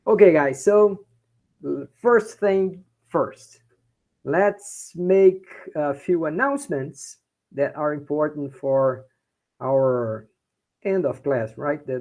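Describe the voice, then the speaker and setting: Brazilian man, university lecturer, slightly high voice, Brazilian accent, repeats himself for students. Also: takes it slowly, a little mellow. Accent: Brazilian Portuguese. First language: Portuguese. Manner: repeats points in Portuguese, checks in with "okay?". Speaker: university professor. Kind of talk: bilingual class lecture.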